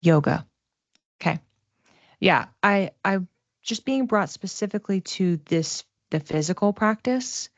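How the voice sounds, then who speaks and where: A woman giving a casual live psychic reading, speaking conversationally and tentatively, thinking aloud with pauses as she explains. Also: medium pitch, animated, dry recording, lo-fi sound.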